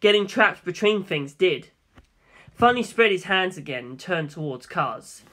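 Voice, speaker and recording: A man's voice: high-pitched, expressive, unhurried, bright, forceful tone. A man reading a scripted first-person story as an audiobook narrator.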